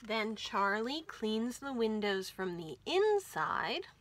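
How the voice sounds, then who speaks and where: A woman hosting storytime for young children, reading aloud in an animated, expressive way and speaking to them directly. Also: a little high, slow pace, a little hiss or hum. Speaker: children's library storytime host.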